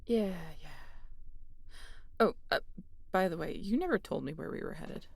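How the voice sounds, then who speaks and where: Female xenobiologist played by a woman: guarded, dry wit, lowish voice with heavy fry, sci-fi audio roleplay. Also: expressive, moderate pace, no room sound, exaggerated voice. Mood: softening, teasing. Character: guarded, sardonic female xenobiologist aboard a spaceship.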